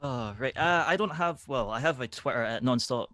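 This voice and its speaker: A man with a medium-pitched voice talks casually on a stream, feeling out his sentences in a halting, tentative way. The recording is close and very dry. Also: animated, pushed and bright, dry recording. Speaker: stream co-storyteller and tabletop player. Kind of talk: casual livestream chat.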